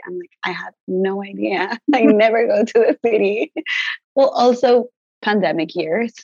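A woman's voice: mid-range voice, a little mellow, husky, no echo, dull audio. A young woman from Mexico, a native Spanish speaker, chatting casually as an interview guest with many "like" fillers and easy laughs. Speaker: guest herbalist.

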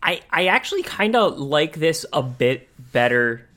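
A man co-hosting a podcast, talking casually and thinking aloud in a halting way. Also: fairly high pitch, big pitch swings, bright, forceful tone. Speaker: podcast co-host. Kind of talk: casual discussion of animation.

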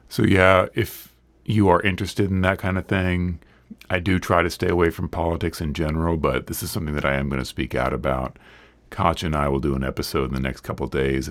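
A man hosting his own podcast, still hoarse from illness, talking earnestly and conversationally. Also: rumbling low, dry recording. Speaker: podcast host. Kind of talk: solo podcast monologue.

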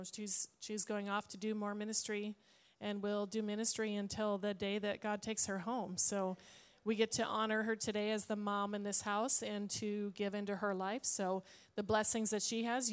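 Woman pastor, quiet voice, smooth, church offering and prayer. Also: medium pitch. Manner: quiet voice, steady. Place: church hall with audience.

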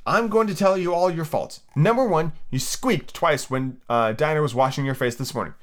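A man's voice: fairly high pitch, normal speed, voice pushed up, natural voice. A man voicing a prim, chatty little girl who scolds and lectures her kitten, in a raised sing-song voice. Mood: scolding. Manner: fussy, lecturing, lively and whimsical. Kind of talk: amateur reading of a children's book.

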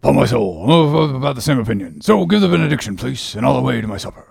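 A man voicing a bossy, mocking sailor officer in an audiobook, animated and needling, with a gravelly rasp. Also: medium pitch, very fast, dry recording, clean studio sound, voice pushed up, full character voice. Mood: amused, teasing. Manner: animated, needling, mock-solemn interrogation. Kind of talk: audiobook character reading.

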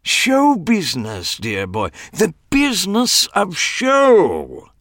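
A man voicing a foppish, theatrical, lanky gossip writer with a flamboyant, drawn-out manner, in an audiobook reading. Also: high-pitched, huge pitch swings, a bit bright, no echo, clean studio sound, pitched above the performer's own voice, exaggerated voice. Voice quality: flamboyant, drawn-out, dramatic delivery.

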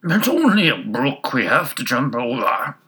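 A narrator voicing a storybook horse with a hoarse, strained, drawn-out delivery. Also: medium pitch, big pitch swings, fairly bright tone, voice pushed up, exaggerated voice. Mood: unbothered. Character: hoarse, choking horse, slow and dramatic.

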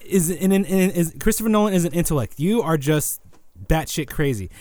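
A man's voice: fairly high pitch, a little fast, darkish tone. A young man co-hosting a podcast, exasperated and trying to steer the chat back to baseball. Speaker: podcast co-host. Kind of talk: casual sports chat.

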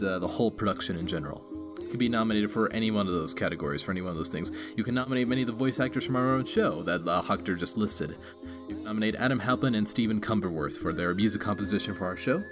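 A man speaking informally as a podcast producer making a casual plug, slightly self-deprecating. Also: on the low side. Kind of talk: casual scripted-show plug. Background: music.

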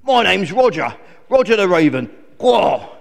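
A sociable storybook raven, performed by a man, chatters in a raised, loud, swooping voice. He is effusive and welcoming, with a long echo around him. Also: high-pitched, very animated, a little fast, voice pushed up. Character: friendly, eager, chatty male raven. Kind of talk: children's storybook character voice.

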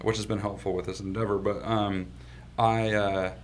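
A man's voice: on the low side, little pitch movement, normal speed, a little hiss or hum. A man from Washington state, a company founder being interviewed in his office, telling his story in a laid-back way and laughing easily. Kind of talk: casual storytelling.

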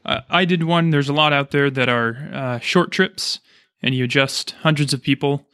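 A man's voice: mid-range voice, moderate pace, pushed and bright, clean tone, no room sound, studio quality. A man hosting a casual interview, chatting and explaining things as he goes. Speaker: podcast host, chiropractor.

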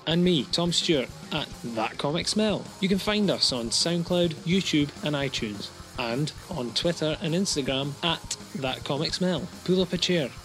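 Upbeat guy with a higher voice plugging his podcast, soft guitar under it. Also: expressive, moderate pace, hardly any pauses, low-quality recording. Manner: upbeat, inviting. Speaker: podcast host. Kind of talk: podcast promo.

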